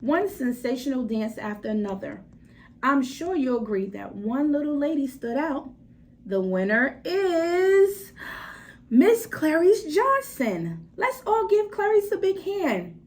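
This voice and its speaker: A woman's voice: big pitch swings, full character voice. A grandiose storybook master of ceremonies, played by a woman. He draws out his announcements with showy flair and wide swoops of intonation. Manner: drawn-out, booming announcements. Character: grand showman announcing a contest, adult man.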